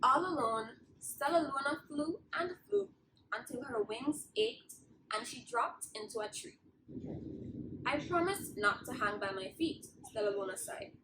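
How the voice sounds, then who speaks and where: A woman reading a children's story aloud in an expressive storytelling style. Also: high voice. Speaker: children's story reader, bat team member. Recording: distant mic with clear background noise.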